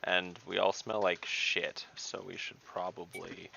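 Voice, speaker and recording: A man's voice: low-pitched, completely flat delivery, a little slow, vocal fry, pushed and bright, slight background noise. A man chatting casually as a player in an online tabletop game. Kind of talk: casual chat. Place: online voice call. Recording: dull lo-fi online call, keyboard typing.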